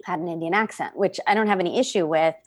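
Low-voiced woman, thoughtful. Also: fast talker, no echo, studio quality. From United States. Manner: thoughtful, with many ums and restarts. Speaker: actor and playwright guest. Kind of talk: conversational podcast guest.

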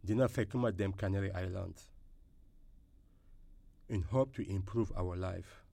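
A man's voice: low voice, unhurried, creaky, warm tone, dry recording, heavily acted. A man playing an earnest, apologetic young son in an audio drama voice-over. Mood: apologetic, solemn. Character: earnest, apologetic young son reading out his farewell letter.

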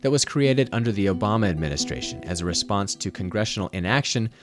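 A man's voice: lowish voice, animated, fast, over music. A man with an American accent, speaking as a podcast host who narrates and interviews. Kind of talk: scripted narration and interview questions.

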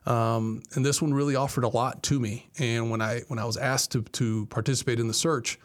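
A man in his forties from Miami speaks at a medium pitch. He is a college athletics director answering interview questions in a conversational, storytelling style. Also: fairly even intonation, brisk. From Miami, Florida, United States. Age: 40s.

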